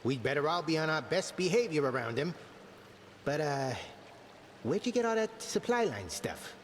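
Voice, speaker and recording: A friendly, slightly bumbling commander from a video game. His informal, hesitant speech swings widely in pitch. Long reverb trails it, with faint noise behind. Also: sing-song, normal speed. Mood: unsure, amiable. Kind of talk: scripted video game dialogue.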